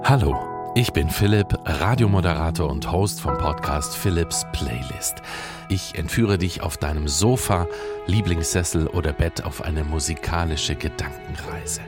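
Man, very low voice, soothing intimate podcast promo, radio presenter, prominent music bed. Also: a little slow. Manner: gentle, soothing, intimate address to one listener.

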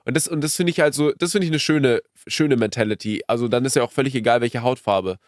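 A man's voice: mid-range voice, quick pace, no echo, studio quality. A man in his early twenties chatting casually as a podcast co-host, using youthful slang peppered with English loanwords. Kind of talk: casual conversational podcast chat.

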